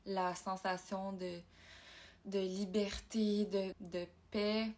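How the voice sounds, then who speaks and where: A woman from Ontario, talking in a casual personal vlog, reflective and halting as she thinks aloud. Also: medium pitch, little pitch movement, a little mellow. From Ontario, Canada. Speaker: YouTuber.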